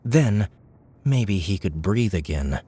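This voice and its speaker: A man narrating a scripted audiobook novel with measured, dramatic storytelling. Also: low-pitched, sing-song, mellow, husky.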